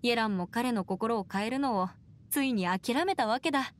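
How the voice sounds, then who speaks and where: A woman's voice: a little high, normal speed, slight background noise, natural voice. A woman playing an earnest, caring young female advocate in an anime game, articulate, with formal phrasing. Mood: thoughtful.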